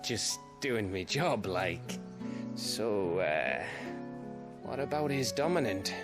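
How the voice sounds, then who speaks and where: A man's voice: fairly low pitch, big pitch swings, slow pace, over loud music, exaggerated voice. A man voicing a cheeky, loyal young scout in a video game, plain-spoken and informal. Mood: earnest. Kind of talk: dramatic video game voice acting.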